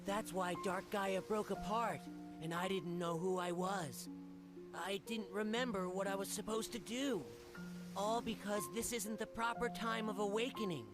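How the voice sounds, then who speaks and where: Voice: mid-range voice, fairly even intonation, unhurried, close to an everyday voice. An actor voicing a small, childlike guardian spirit from a video game, earnest and hesitant in delivery. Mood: solemn, earnest. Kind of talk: animated video game cutscene acting. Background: game music under the voice.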